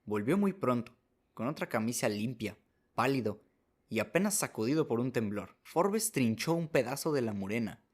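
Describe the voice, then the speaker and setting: A man's voice: mid-range voice, animated, normal speed. A man narrating a short story as a scripted radio drama, reading as the narrator.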